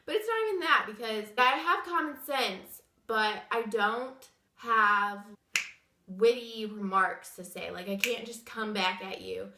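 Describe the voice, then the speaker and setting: Woman, young adult, sick and wheezy, chatty rambling vlog talk, sharp tone, roomy echo. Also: medium pitch. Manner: chatty, rambling with many fillers. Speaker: vlogger. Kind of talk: casual story time chat.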